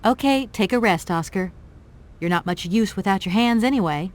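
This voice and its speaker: Lively, wry young adventuress played by a woman. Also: mid-range voice, moderate pace, natural voice. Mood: wry, exasperated. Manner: natural, expressive, casually wry. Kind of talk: video game character acting.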